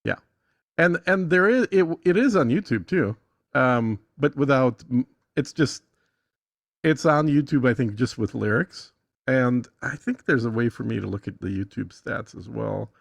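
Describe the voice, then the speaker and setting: A man with a gravelly voice giving a casual screen-share tutorial as a podcast guest, speaking bluntly and quick to correct. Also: mid-range voice, lively intonation, no echo. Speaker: podcast guest explaining music monetization.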